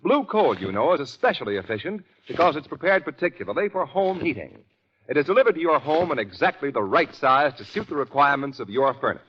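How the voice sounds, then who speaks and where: Animated American vintage radio announcer man. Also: fairly high pitch, quick pace. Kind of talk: scripted 1940s radio commercial. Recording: muffled old radio recording, faint hiss.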